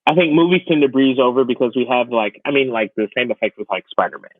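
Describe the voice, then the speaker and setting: A young man chats casually as a podcast host, conversational and given to wry asides. His voice sits at an average pitch. The recording is close and dry, with a markedly muffled, narrow sound. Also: fast talker, no room sound. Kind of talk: casual chat about pop culture.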